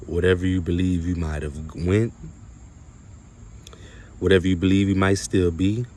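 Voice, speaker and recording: A man delivering an unscripted spiritual monologue to viewers, halting and emphatic, often repeating his phrases. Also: deep voice, fairly even intonation, a little mellow. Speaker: spiritual video creator.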